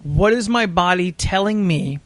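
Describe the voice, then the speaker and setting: An American man hosting a podcast, telling a story casually in a halting, sweary way. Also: high voice, no echo. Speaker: podcast host.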